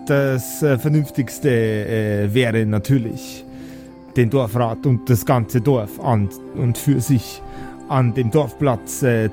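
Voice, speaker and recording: A man voicing a bumbling dwarf village elder who talks in halting, convoluted officialese, full of hesitations. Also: medium pitch, lightly acted. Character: long-winded, bumbling dwarf village elder, a stammering politician.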